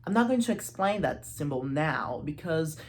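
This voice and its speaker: A low-pitched woman talks through a literary analysis in a casual, chatty way. She is informal and playful, dropping asides to the viewer. Background noise is audible in the recording. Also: expressive, moderate pace. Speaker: host of a literature video series.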